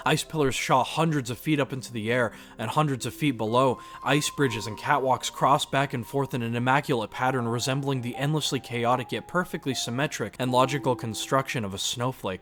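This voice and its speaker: A male narrator with a medium-pitched voice reads a scripted adventure story. Music plays clearly behind his storytelling. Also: a bit bright.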